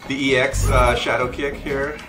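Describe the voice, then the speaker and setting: A man giving a casual, halting technical demo of a fighting game's moves as a developer. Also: mid-range voice, little pitch movement, loud music behind the voice. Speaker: game developer demonstrating character moves. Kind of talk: casual gameplay demo commentary.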